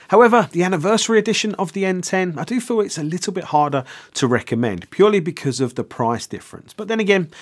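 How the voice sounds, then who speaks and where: A man in his forties giving a product review in a measured, conversational, explanatory way. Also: medium pitch.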